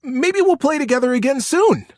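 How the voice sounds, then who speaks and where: High, eager little boy beaver. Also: animated, moderate pace, no room sound, clean studio sound. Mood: delighted. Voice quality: high-pitched, yelping. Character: excitable, friendly young male beaver playing at war.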